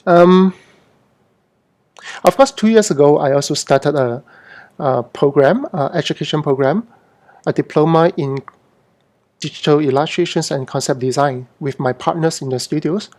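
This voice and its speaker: A middle-aged man from Hong Kong with a slightly high voice talks informally to an online audience. He speaks off the cuff as an artist hosting a Q&A, haltingly, doubling back on words and correcting himself. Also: darkish tone. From born in Hong Kong, moved to Singapore as a teen. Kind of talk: informal unscripted livestream talk.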